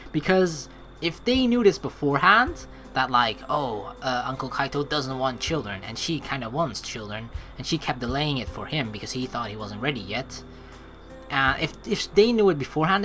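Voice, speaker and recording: A streamer talks through a story game in a casual, conversational register. The delivery rambles as thoughts are worked out aloud, at a medium pitch. Game music plays softly behind the voice. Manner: rambling, conversational, thinking aloud, mild swearing. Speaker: let's play streamer. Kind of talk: casual gameplay commentary mixed with reading game text aloud.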